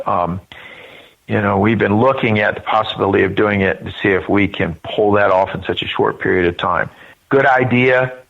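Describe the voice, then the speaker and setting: A man's voice: on the low side, gravelly, a bit bright. A man giving informal interview answers as a spokesperson, earnest and wandering, often correcting himself, over a dull band-limited line. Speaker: event spokesperson, interview guest.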